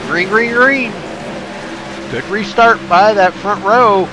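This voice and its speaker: A stuffed-up man calling a race live, pitched a little high and excitable in a folksy way. His voice comes through noisy broadcast audio with a clear hiss behind it. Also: takes it slowly. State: stuffed up from allergies. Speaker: race commentator. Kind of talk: live race play-by-play commentary.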